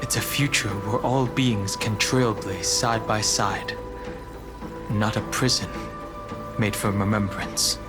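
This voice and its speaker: Low, measured dragon-blooded warrior. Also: male voice, steady tone. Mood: resolute. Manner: measured, grave, restrained. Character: calm, resolute immortal dragon-blooded male warrior. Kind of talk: dramatic video game voice acting. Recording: music under the voice.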